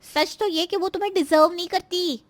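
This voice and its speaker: A woman's voice: extremely high pitch, fairly even intonation, brisk, dry recording. A woman playing a scheming, spiteful married daughter in a cartoon moral story, with a nagging, insinuating and complaining manner. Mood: scornful, taunting.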